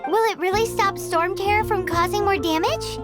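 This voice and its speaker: A woman voicing an excitable tiny female fairy companion in a video game cutscene, squeaky and eager, asking questions and exclaiming. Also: moderate pace. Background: game soundtrack music, loud.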